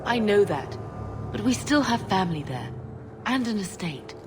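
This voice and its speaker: An actress playing a grieving, gentle middle-aged mother fleeing home in a fantasy video game, weary and pleading. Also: medium pitch, sing-song, moderate pace, background noise, lightly acted. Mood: hopeful.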